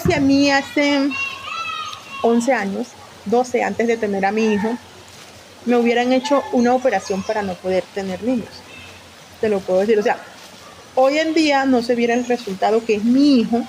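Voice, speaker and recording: A woman's voice: on the high side, moderate pace, long pauses, noisy background. A woman speaking off the cuff in an interview, emphatic, repeating points and asking rhetorical questions. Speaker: local community leader interviewee. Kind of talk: spontaneous interview talk.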